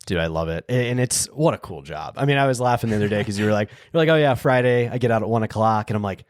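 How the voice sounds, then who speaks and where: A man's voice: lowish voice, animated, fast talker, dry recording, studio quality. A man hosting a podcast, chatting casually and enthusiastically, tossing in slang. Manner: enthusiastic, slangy, says "dude". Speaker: podcast host. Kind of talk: casual interview chat.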